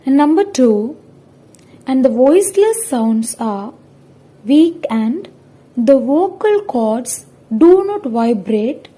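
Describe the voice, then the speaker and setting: Slow, husky young woman presenting a lesson. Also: sits high, very animated, warm tone. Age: young adult. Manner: careful, halting, word-by-word delivery. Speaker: student presenter. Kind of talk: scripted lesson presentation, read out.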